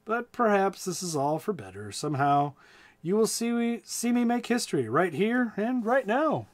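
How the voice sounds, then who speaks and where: A man's voice: high voice, sing-song, moderate pace, pitched above the performer's own voice. A man voicing a stubborn old grandfather in a storybook, proclaiming emphatically and eager for battle. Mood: scolding, proud.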